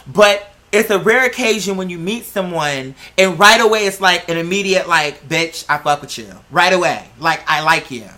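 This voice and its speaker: Bright-voiced sassy man chatting. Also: high-pitched, expressive, normal speed, hardly any pauses. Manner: sassy, dramatic, slangy and profane. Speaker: host. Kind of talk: casual chat, personal storytelling.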